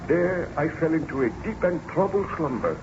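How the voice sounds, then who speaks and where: A man's voice: a little high, lively intonation, normal speed, vocal fry. Haunted aristocratic male scientist, formal and melodramatic, 1930s radio play, dull noisy recording. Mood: somber recollection. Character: anguished baron scientist, haunted by his monstrous creation. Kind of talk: 1930s radio drama acting.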